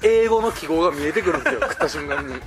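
A man's voice: on the high side, animated, very fast, over loud music. A man co-hosting a comedic podcast, speaking politely with playful mock-serious explanations. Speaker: podcast co-host and comedy writer. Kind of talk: casual comedic banter.

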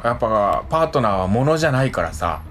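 Lively man chatting on radio. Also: medium pitch, fast talker. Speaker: radio show co-host. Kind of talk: casual conversational chat with frequent agreement. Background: background music.

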